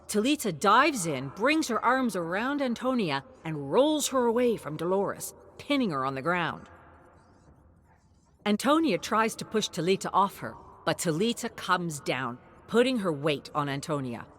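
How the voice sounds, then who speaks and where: A woman reading a scripted dramatic story in an expressive, measured way, in short phrases. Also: mid-range voice, slight background noise. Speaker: narrator.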